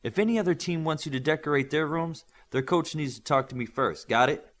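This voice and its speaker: A man voicing a brisk adult male coach, kindly but firm, in an audiobook. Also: on the high side, fairly bright tone, voice pushed up, lightly acted.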